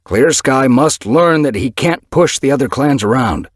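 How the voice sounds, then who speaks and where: Man voicing a stubborn tom cat, hostile, raised voice, husky, storybook. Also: medium pitch, lively intonation, unhurried, dry recording, clean studio sound, pitched above the performer's own voice. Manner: hostile, defiant.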